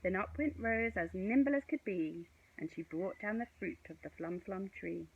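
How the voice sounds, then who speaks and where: A woman reading a children's picture book aloud in an expressive, sing-song way, with chatty asides to young viewers. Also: medium pitch, normal speed, creaky, breathy edge, phone-quality sound. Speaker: story reader.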